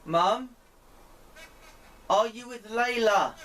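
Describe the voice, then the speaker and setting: A man speaking in deliberate, pleading short phrases, putting questions to a spirit as an amateur experimenter. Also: high voice. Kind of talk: slow spoken questions addressed to a spirit. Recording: distant mic, clear background noise.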